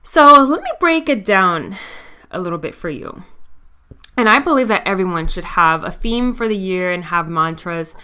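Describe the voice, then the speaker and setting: A young woman in her twenties hosts her own podcast, talking to listeners in a candid, confiding way. Her voice is bright and forceful, smooth and crisp, with a mild stutter now and then. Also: fairly low pitch, expressive, moderate pace. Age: 20s. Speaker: podcast host. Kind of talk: casual personal monologue.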